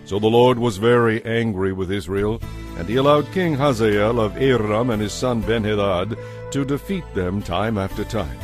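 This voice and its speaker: A man giving a measured, formal scripted narration for a dramatized audio Bible, as narrator. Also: on the low side, faint music behind.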